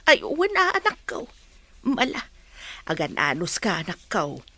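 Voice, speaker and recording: An actress playing a gentle, protective village mother in a radio drama. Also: lowish voice, wildly swooping pitch, unhurried, husky, heavily acted. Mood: tender, worried.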